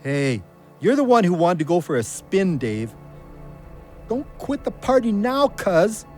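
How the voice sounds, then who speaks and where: High, animated buddy. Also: male voice, measured pace, faint music behind. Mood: teasing. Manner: teasing, colloquial. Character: joking, loyal hunting buddy, increasingly wary. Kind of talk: audio drama acting.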